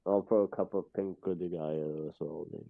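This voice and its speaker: A man's voice: deep voice, fairly even intonation, a little fast, gravelly. A man on a call joining a stream chat and making dry, teasing jokes. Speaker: stream guest. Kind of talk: casual chat over a call. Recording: tinny phone-like call audio.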